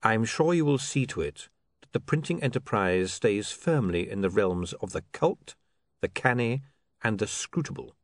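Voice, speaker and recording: A man's voice: lowish voice, expressive, normal speed, no echo, lightly acted. A man voicing an urbane older city ruler in an audiobook, dry, formal and politely menacing. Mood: veiled menace. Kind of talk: audiobook character voice.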